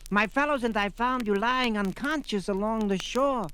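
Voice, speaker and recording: An actor playing a small, shriveled, scheming desert slave trader in a 1970s audio drama, with an oily, theatrical manner. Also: male voice, sits high, moderate pace, pushed and bright, a little hiss or hum. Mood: smug, oily.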